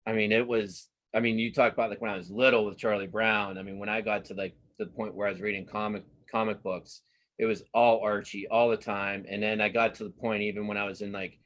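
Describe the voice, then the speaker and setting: A man's voice: on the low side, little pitch movement, fairly quick, a bit bright. A middle-aged man chatting casually as an interview guest, enthusiastic and often repeating words for emphasis. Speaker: guest cartoonist and comics writer.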